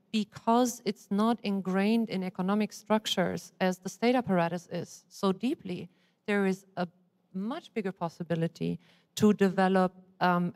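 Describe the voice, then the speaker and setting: A woman with a smooth, resonant voice speaks reflectively in an academic discussion. She searches for words now and then. Her voice is carried by the long reverb of a conference hall. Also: medium pitch, a little mellow.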